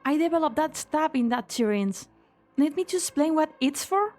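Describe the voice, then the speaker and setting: High-pitched, earnest woman researcher, acted by a woman. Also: normal speed. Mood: urgent. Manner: earnest, hesitant, expressive. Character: earnest, anxious young female lab researcher. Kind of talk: English fan dub of a game story.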